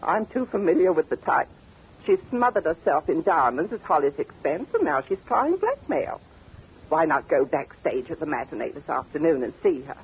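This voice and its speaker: An old lo-fi radio recording of a sardonic British duchess who once trod the music-hall stage. Her husky voice moves freely up and down as she speaks with imperious, theatrical assurance and mocking amusement. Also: low-pitched, very animated, normal speed, full character voice. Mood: scornful, sure. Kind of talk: old-time radio drama acting.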